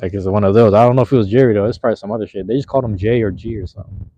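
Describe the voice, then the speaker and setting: A man's voice: on the low side, racing, dark tone, slightly rough, no room sound. Laid-back slangy man on a phone livestream. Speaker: livestream host. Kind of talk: casual live chat with viewers. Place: outdoors at night in a park, cold drizzle. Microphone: handheld phone. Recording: slightly dull lo-fi phone audio.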